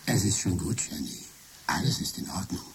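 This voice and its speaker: A woman voicing a flustered man trapped by his jealous computer, yelping in pain and then faking calm. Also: rumbling low, normal speed, gravelly, breathy edge, heavily acted. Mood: frantic. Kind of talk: radio play.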